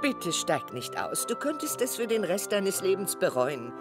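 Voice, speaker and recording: A woman voicing a caring old grandmother in a cartoon, soothing and encouraging in an expressive way. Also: fairly low pitch, normal speed. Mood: earnest, encouraging. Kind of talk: German cartoon dub acting. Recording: music behind the voice.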